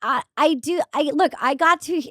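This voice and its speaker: Woman, high voice, forties, chatty, rambling restarts, dry close mic. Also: normal speed, no room sound. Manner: animated, candid, rambling with many restarts. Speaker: guest comedian. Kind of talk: casual podcast chat.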